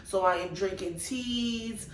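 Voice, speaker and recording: A woman's voice: medium pitch, takes it slowly, echoey room, slight background noise, poor audio. A young woman vlogger with a congested, nasal voice, chatting animatedly as she tells a personal story. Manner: animated, chatty, exclaims to God and Jesus. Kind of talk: casual storytime vlog.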